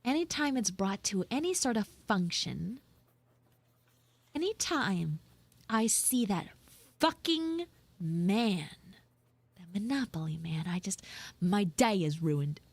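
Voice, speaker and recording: A woman voicing a flustered, grudging tsundere frog girl in a live audio roleplay, speaking casually with halting stammers. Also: medium pitch, very animated, no room sound, full character voice. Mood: grumbling. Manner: halting, stammering, drawn-out delivery.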